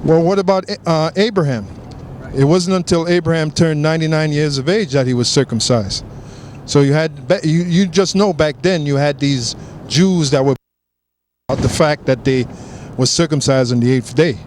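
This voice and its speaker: A man preaching in an outdoor discussion, emphatic and quick to affirm with agreement. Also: fairly high pitch, a little mellow, slight background noise. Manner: emphatic, keeps affirming with "that's right". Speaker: street preacher.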